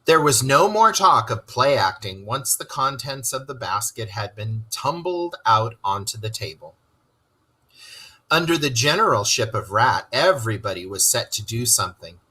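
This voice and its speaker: A man reads a children's story aloud as its narrator. His voice is bright and forceful with a husky edge, and he moves expressively through a lively range of pitch. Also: moderate pace, poor audio.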